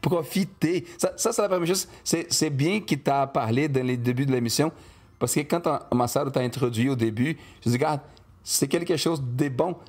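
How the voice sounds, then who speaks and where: A man's voice: mid-range voice, moderate pace, a little mellow, breathy edge. Man chatting as podcast co-host. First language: Portuguese. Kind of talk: casual chat.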